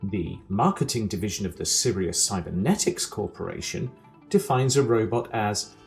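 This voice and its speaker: Dry male narrator played by a man, deepish, authoritative, comic scripted reading, faint music behind. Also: animated, vocal fry. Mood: dry, mock-official. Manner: dry, measured, wry.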